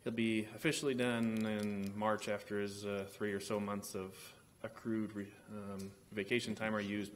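A man, a council member, giving an informal report with halting, hesitant phrasing. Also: on the low side. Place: large council chamber. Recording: steady room noise.

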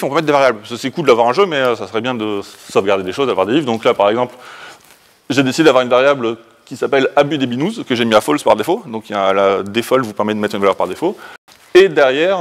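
Fast-talking Frenchman giving a tech talk. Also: medium pitch. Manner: casual, colloquial, hurried. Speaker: conference speaker. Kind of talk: informal technical talk with slides. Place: indoor presentation room, standing by a projection screen.

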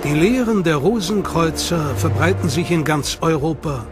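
A man giving measured, scripted documentary narration. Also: fairly high pitch. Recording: voice-over with loud music behind.

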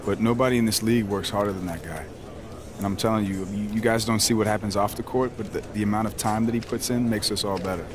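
A man's voice: lowish voice, fairly even intonation, fast talker, noisy background, lightly acted. A man playing an easygoing, driven young pro basketball player in a video game, with an American accent and casual slangy talk. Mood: earnest. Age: young adult. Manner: casual, slangy, laid-back. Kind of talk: naturalistic video game cutscene acting.

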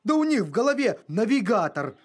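A man voicing an earnest, fretful male dog who cares about nature in an animated cartoon. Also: high-pitched, fairly quick, a little hiss or hum. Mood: explaining. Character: earnest, worried male talking dog, nature-minded tinkerer.

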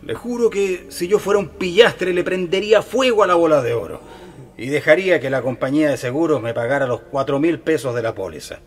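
An actor playing an anxious, downcast married shopkeeper in a radio drama, hesitant and repeating himself. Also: male voice, on the high side, a little fast. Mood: despairing.

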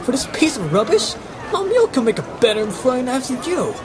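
Sneering royal guard for fantasy audio play woman actor, contemptuous, animated delivery, Filipino accent, loud street crowd. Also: sits high, fairly quick. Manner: contemptuous, menacing. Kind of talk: fantasy audio drama acting. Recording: loud crowd and music behind.

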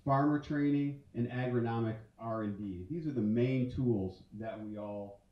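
Male speaker, 40s, stage talk, halting with fillers, distant muffled hall sound, mid pitch. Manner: conversational, many fillers and restarts. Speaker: conference speaker. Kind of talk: conference talk with slides. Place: large dark auditorium stage. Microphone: handheld mic.

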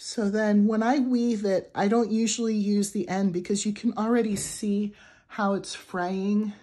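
A young adult woman with a raspy voice from the flu, casually talking through a crochet tutorial at a table, chatty with fillers and restarts. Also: mid-range voice, little pitch movement, a little slow, mellow. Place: indoors at a wooden table. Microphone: camera over a tabletop, hands only.

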